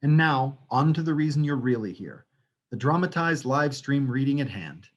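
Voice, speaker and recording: A man's voice: medium pitch, expressive, normal speed, dull audio. A man delivering a scripted introduction speech with theatrical, wry, self-mocking asides. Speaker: theater company director and playwright introducing a livestream reading.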